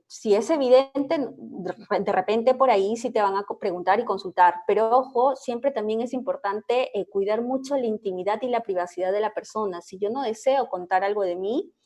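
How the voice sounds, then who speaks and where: A woman clinical psychologist, a guest explaining in an interview, measured and explanatory, with frequent hesitations. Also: mid-range voice, darkish tone.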